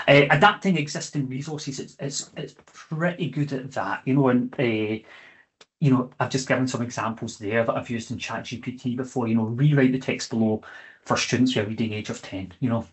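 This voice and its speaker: A man, a chemistry teacher presenting an online training session in a conversational way, often checking in with "okay?" and filling pauses with "eh". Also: mid-range voice.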